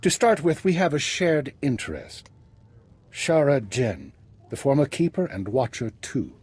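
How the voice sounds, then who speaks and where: Very husky retired spymaster. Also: male voice, mid-range voice, very animated, a little mellow, no echo. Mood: grave. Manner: measured, formal, understated. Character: retired male spymaster, composed, dry, calculating. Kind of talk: video game voice acting.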